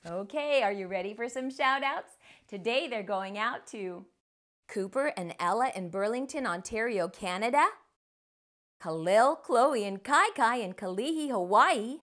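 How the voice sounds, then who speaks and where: A middle-aged woman hosting a children's storytime, speaking in an animated, expressive way to young readers. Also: a little high, a little slow. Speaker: host of children's read-aloud show. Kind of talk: children's storytime read-aloud and presenting. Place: small furnished room indoors. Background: music.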